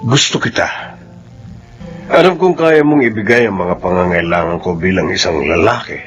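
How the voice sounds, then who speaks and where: A man's voice: low voice, normal speed, over music, close to an everyday voice. A man playing an arrogant, blunt, wealthy older suitor with a Filipino accent in a radio drama. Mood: seductive, boastful. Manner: smooth-talking, blunt, boastful.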